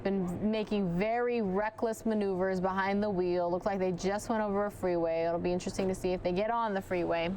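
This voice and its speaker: A woman news anchor giving live commentary on a police chase, chatting directly with viewers, her voice starting to go hoarse. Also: medium pitch, fairly even intonation, a little fast, few pauses, dark tone, a little hiss or hum. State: losing her voice.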